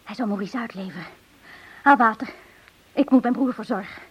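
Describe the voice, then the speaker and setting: A woman's voice: fairly high pitch, a little fast, breathy edge. An actress playing an anguished, tender sister caring for her wounded brother in a Dutch radio drama. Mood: tender, sorrowful. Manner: emotional, reproachful, pleading.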